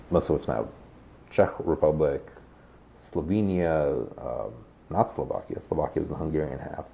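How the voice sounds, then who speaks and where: A man's voice: sits low, vocal fry, mellow. A man, a guest author, explaining history in a thoughtful conversational way with frequent restarts. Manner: thoughtful, many restarts and hedges.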